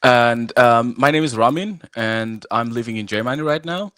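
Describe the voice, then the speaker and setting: A man from Iran whose first language is Farsi, talking casually and haltingly on a video call, full of fillers and restarts. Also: mid-range voice, fairly bright tone, smooth, no echo, clean studio sound. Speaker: guest, arm wrestler and referee. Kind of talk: casual live-stream chat. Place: at home, arm wrestling table behind.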